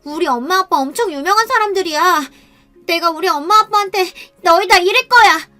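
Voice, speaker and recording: A woman voicing a bold little girl who talks back defiantly in a shrill voice. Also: fairly quick, bright, forceful tone, soft background music. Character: feisty little girl on crutches.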